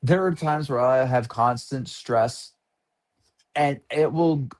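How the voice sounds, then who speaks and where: Slow-talking man in his fifties. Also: mid-range voice. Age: 50s. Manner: unhurried, laughs often. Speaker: guest artist. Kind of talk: casual interview chat.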